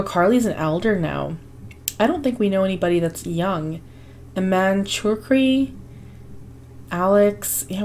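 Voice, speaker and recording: A woman hosting a life-sim game video, her voice hoarse and fading, chatting casually with frequent ums and small laughs. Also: medium pitch, a little mellow. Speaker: gaming channel host. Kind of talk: casual gameplay commentary.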